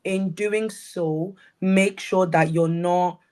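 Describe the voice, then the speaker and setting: Young Scouse woman, flat earnest delivery. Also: on the low side. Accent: Scouse with some Nigerian. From England, Liverpool area. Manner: earnest, unhurried, restarts often. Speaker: panel guest. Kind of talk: conversational advice, panel discussion.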